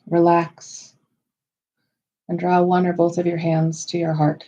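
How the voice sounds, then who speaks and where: A woman leading a guided meditation in a slow, soothing style, with measured, unhurried phrases and pauses. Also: on the low side, completely flat delivery, dark tone, muffled recording.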